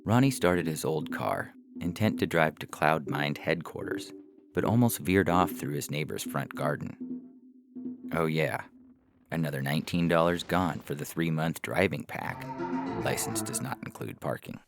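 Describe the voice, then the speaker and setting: Male fiction narrator, wry and dramatic, extremely low register with fry, over a prominent music bed. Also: expressive, moderate pace. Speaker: story narrator.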